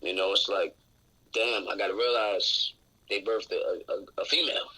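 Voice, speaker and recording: Raspy, outspoken young man. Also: deep voice, fairly even intonation, moderate pace, pushed and bright, low-quality recording. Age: 20s. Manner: outspoken, open and reflective. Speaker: interview guest. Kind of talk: candid casual conversation. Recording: radio show recording.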